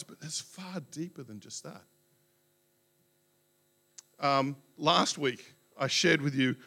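A man's voice: a little high, gravelly, bright, forceful tone. A male pastor, speaking in a casual, self-joking way into a handheld mic to his congregation. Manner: chatty, self-joking, many fillers. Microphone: handheld microphone.

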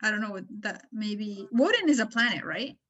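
A woman's voice: mid-range voice, animated, moderate pace, bright, forceful tone, dull audio. A woman chatting casually in a group, tentative and thinking aloud as she explains something. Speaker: comedy podcast cast member.

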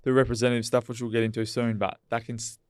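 A man's voice: medium pitch, little pitch movement, quick pace, no echo, studio quality. A man co-hosting a podcast, asking long, rambling questions full of restarts. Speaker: podcast co-host. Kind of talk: casual interview chat.

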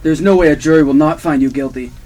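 A man's voice: a little high, quick pace, dark tone, close to an everyday voice. A man playing a hot-tempered, vengeful, confrontational police detective in an amateur old-time radio drama, on a noisy recording. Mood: accusing. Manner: confrontational, accusing.

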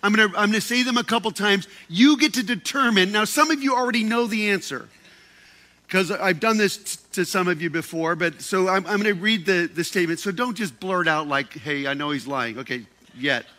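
A man's voice: sits high, a little fast, pushed and bright. A man speaking to a group in a casual, sermon-like talk. He is playful and joking, holding a handheld mic and often repeating and restarting his words. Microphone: handheld microphone.

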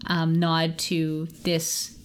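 A woman's voice: deep voice, completely flat delivery, very slow, smooth. A woman co-hosting a podcast in a casual, opinionated chat, with an emphatic, playfully argumentative manner. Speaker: podcast co-host.

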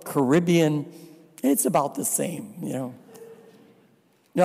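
A man from New Jersey preaching a sermon as a visiting priest, slow and deliberate with dry asides. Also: on the high side, a little mellow. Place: church. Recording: reverberant church hall.

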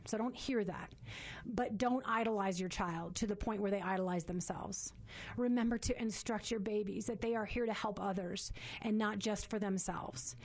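Loud, fast-talking woman host. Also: a little high. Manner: earnest, repeats words as she restarts. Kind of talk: faith-based parenting talk.

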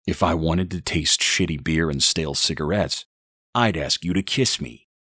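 A man's voice: rumbling low, normal speed, sharp tone, husky, no room sound, studio quality, full character voice. A man voicing a malevolent baby with a mean grown man's voice in an audiobook, sinister and mocking. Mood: contemptuous. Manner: sinister, mocking, foul-mouthed. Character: demonic baby boy with a mean, adult man's voice.

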